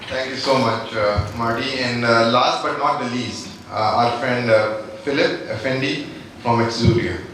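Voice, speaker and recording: A man's voice: medium pitch, steady tone, a little slow, background noise. A man from India moderating a business panel, polite and hesitant with many fillers. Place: large conference hall. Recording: echoey hall from far off.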